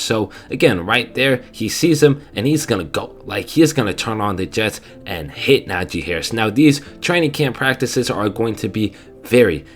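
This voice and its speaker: A young man with a stuffy nose giving casual, enthusiastic film breakdown commentary, often asking "right?". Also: lowish voice. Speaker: fan video host.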